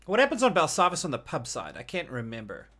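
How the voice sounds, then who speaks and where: A man's voice: a little high, wildly swooping pitch, fast, pushed and bright. A man co-hosting a gaming podcast in casual, rambling chat, hesitating as he thinks things through. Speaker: podcast co-host discussing a video game.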